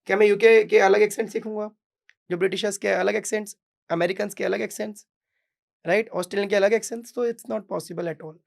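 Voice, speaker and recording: A man's voice: high voice, fairly even intonation, fairly quick, creaky. A young adult man from Bihar with a Bihari accent, an English coach in a podcast interview, mixing Hindi and English and often asking "right?". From Bihar, India. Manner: mixes Hindi and English, emphatic, repeats "right?".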